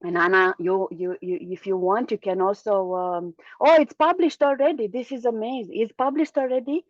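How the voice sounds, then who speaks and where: A woman's voice: mid-range voice, fairly even intonation, moderate pace, no echo. Woman hosting, dry dull call audio. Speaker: session host. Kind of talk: informal introduction of a speaker. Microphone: video call.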